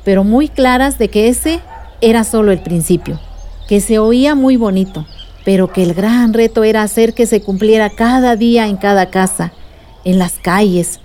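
A woman's voice: medium pitch, moderate pace, dark tone, close to an everyday voice. An actress playing an adult village woman, a patient, earnest activist recounting memories in a radio drama. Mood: moved, proud. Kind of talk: radio drama dialogue and narration.

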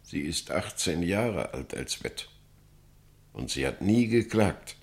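An actor playing a resigned middle-aged pastor and father in a German radio drama, solemn, measured and subdued. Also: deep voice, sing-song, vocal fry, exaggerated voice. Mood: solemn resignation. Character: resigned, patient pastor, father of missing daughter.

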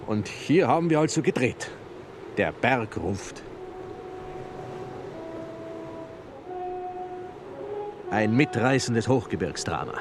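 An actor in a German radio drama playing a proud, middle-aged mountaineer and filmmaker who tells stories with theatrical relish. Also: male voice, on the low side, natural voice.

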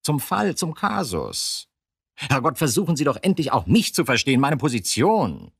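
A man's voice: medium pitch, huge pitch swings, normal speed, vocal fry, fairly bright tone, husky, no room sound, clean studio sound, heavily acted. An actor playing an exasperated, dutiful male police detective in a German radio drama, insistent and pedantic in manner. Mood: impatient, pressing.

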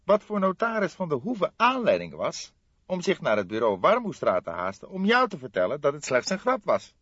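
A man's voice: on the high side, huge pitch swings, fairly quick, a bit bright, slightly rough, dry recording, muffled recording, voice pushed up, exaggerated voice. A man voicing an earnest young police detective sidekick in an audiobook, questioning and easily indignant in manner. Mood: eager reasoning. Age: young adult. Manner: questioning, reproachful, eager. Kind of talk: audiobook character acting.

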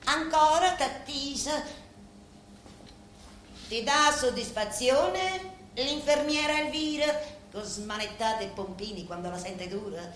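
A boss's bitter, sensual wife in a stage drama, taunting with theatrical scorn in a Sicilian accent. Her delivery is slow and deliberate, her voice sharp and forceful. It rings through a very echoey space with faint noise behind. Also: high voice. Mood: mocking, taunting. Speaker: stage actress.

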